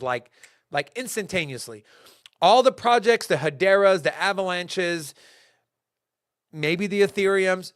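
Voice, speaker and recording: A young man hosting a casual crypto market show, talking in animated bursts with fillers and restarts. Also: high-pitched, no echo, clean studio sound. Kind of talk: casual market commentary to a live chat.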